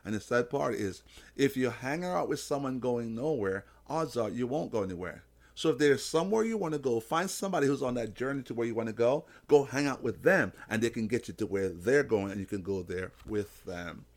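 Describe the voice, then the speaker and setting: Male motivational podcast host, mid-range voice, lively intonation, emphatic preacher delivery. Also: medium pitch, fairly quick. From Jamaica. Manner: emphatic, preacher-like, illustrates with simple analogies. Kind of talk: motivational faith talk.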